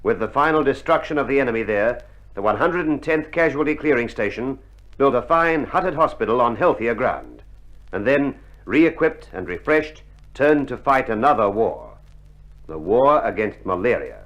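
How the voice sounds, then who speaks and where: Solemn male narrator, animated intonation. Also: mid-range voice, moderate pace. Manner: solemn, formal. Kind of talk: scripted war documentary narration. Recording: muffled 1960s vinyl record.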